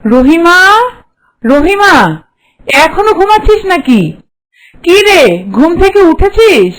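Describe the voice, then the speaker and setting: Bossy stuck-up auntie for a cartoon, high voice, naggy n contemptuous. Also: female voice, very animated, takes it slowly, heavily acted, rough recording. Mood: grumbling, contemptuous. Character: haughty, scolding, hard-hearted mistress of the house. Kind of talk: Bengali cartoon voice acting.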